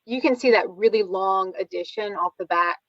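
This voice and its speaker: A woman explains visual material in a careful, tentative, informal way, as staff at a public meeting. Her mid-pitched voice is dry and slightly dull, like a lo-fi online call. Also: moderate pace, dry recording.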